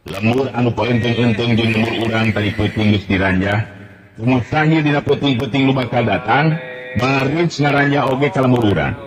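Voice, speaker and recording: A man's voice: medium pitch, pitched above the performer's own voice, close to an everyday voice. A male storyteller voicing an older village head who gives a formal speech to the villagers, on an old, echoey, hissy radio recording.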